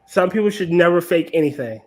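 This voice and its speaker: A man with a high voice for a man holds forth in a casual chat. He protests with theatrical exclamations and repeated pleas. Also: lively intonation, a little fast, darkish tone.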